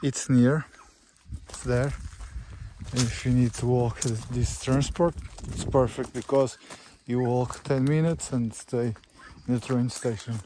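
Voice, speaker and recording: A man with a Portuguese accent giving an informal property walkthrough as an estate agent, in halting, simple English with short phrases. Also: mid-range voice, fairly even intonation, mellow, rough recording. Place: outdoors on a rural farm. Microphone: handheld phone or camera held by speaker. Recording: outdoor handheld recording with faint background noise.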